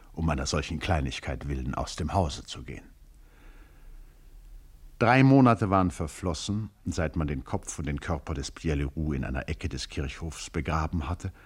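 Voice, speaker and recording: A male storyteller with an extremely deep voice and a husky grain to it. He narrates literary prose in formal diction, as in a radio drama. His delivery is rhetorical and eloquent, with an ironic edge.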